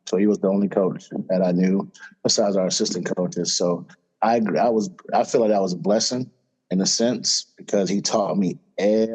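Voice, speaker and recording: A middle-aged man from Florida talks through his past in an easygoing podcast chat. His voice sits low and mellow, and he leans on fillers as he goes. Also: breathy edge, low-quality recording. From Florida, USA. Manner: relaxed storytelling with fillers. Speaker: head wrestling coach, guest. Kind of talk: casual interview talk.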